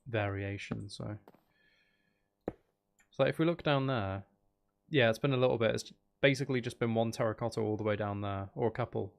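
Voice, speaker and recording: A man's voice: fairly low pitch, vocal fry. A man giving casual livestream commentary on a game, thinking aloud to his viewers in a musing way. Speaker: game streamer.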